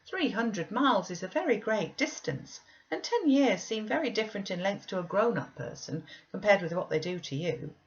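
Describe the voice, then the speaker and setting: A woman's voice: mid-range voice, expressive, normal speed, few pauses, muffled recording, voice pushed up, lightly acted. Woman as a coaxing, reassuring servant woman. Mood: patient, encouraging. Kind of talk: audiobook character reading.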